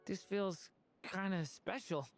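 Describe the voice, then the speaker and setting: A woman voicing a gentle, reflective young dragon boy in a video game, speaking earnestly. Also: medium pitch, takes it slowly, music in the background. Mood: thoughtful, earnest.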